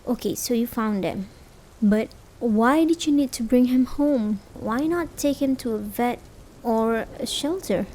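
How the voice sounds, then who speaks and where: A woman's voice: fairly high pitch, animated, a little slow, a little mellow, rough recording. A woman acting a caring, worried, hesitant partner in a realistic slice-of-life ASMR roleplay. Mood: exasperated, gentle. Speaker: amateur voice actor. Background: rain sound effects.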